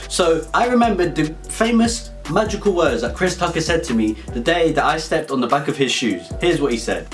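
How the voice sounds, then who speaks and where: A man's voice: fairly high pitch, fairly bright tone. A British man delivering an absurd comedic storytelling monologue in a rambling, mock-serious way. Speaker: comedy video creator. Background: background music.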